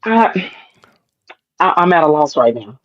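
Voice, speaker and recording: A man joining a casual livestream chat, speaking in a hesitant, halting way. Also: on the high side, very animated, fairly bright tone, no room sound, lo-fi sound. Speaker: livestream guest.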